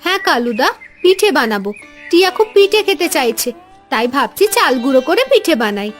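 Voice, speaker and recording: A patient young village mother in a cartoon, voiced by a woman, with a high, gentle voice. She speaks calmly and softly. Faint music plays underneath. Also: fairly quick. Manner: gentle, calm.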